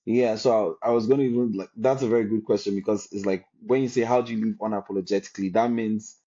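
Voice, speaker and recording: A man with a lowish, dark voice answers slowly and earnestly. His sound comes through a dull, lo-fi video call line. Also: steady tone. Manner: measured and earnest, slow deliberate phrasing. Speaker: podcast guest, health policy researcher. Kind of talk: reflective conversational answers.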